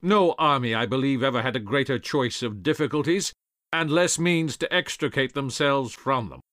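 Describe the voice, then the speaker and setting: A man's voice: medium pitch, moderate pace, fairly bright tone, dry recording, clean studio sound, voice pushed up, lightly acted. A man voicing a commanding male general who speaks in formal, rousing tones. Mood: resolute.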